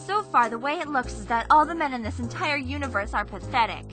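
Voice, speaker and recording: A woman as a brusque, eccentric anime schoolgirl with a fairly high voice. She is haughty and easily bored, curt and emphatic, with music clearly playing behind her. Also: expressive, fast, fairly bright tone. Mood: dismissive, irritated. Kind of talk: fan dub of anime.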